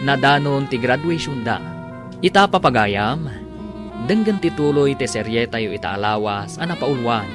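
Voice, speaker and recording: A man with an Ilocano accent narrating and announcing a scripted radio drama. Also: medium pitch. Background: music.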